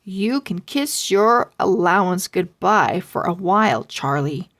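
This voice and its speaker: A woman's voice: on the low side, animated, slow pace, fairly bright tone. A woman voicing a playful mother in a children's storybook, teasing with mock-stern warnings. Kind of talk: children's storybook read-aloud.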